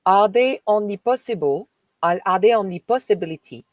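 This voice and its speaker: A woman from France with a French accent, a spiritual host reading a text aloud and stopping for chatty asides, with slow, emphatic delivery. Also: mid-range voice, expressive, no echo. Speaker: spiritual live-stream host. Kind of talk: reading aloud with spoken commentary.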